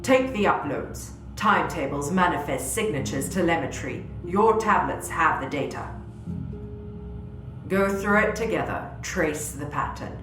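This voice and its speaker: A woman playing a grave military commander in a sci-fi audio drama, measured, deliberate and authoritative. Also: deep voice, lively intonation, a bit bright. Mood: firm, resolute. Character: grave female military commander sharing secret doubts. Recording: roomy echo under prominent music.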